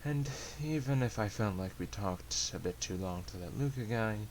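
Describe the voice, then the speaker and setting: A man voicing a composed, practical male companion who gives measured, encouraging advice in an amateur story reading. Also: on the low side, expressive, close to an everyday voice, poor audio. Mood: calm, advising. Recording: hissy amateur home recording.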